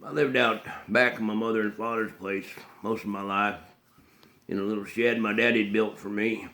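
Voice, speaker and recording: A simple, haunted backwoods man from a Southern film drama, in a low voice with a rural Southern US accent. He mumbles plainly and haltingly, repeating himself and breaking his phrases with throaty grunts and hums. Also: steady tone, sharp tone. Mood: flat, halting.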